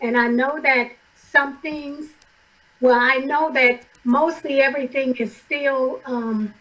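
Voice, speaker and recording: A middle-aged woman speaking with a high voice that is hoarse and a little worn. She joins a group call as a participant. She hesitates and doubles back as she frames her question. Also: fairly even intonation, slow, a bit bright, low-quality recording. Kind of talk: online study group call.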